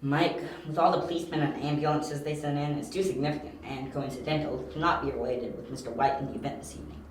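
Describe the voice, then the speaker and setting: A very echoey recording of a man acting as a young detective in an amateur audio drama. His voice sits a little high, and he explains things briskly, with confident, analytical insistence. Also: fairly even intonation, slight background noise, natural voice. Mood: assured. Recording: very echoey room.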